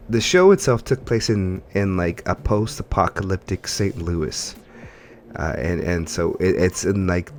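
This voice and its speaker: Low-voiced man chatting on a gaming podcast. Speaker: podcast host. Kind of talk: casual gaming podcast chat.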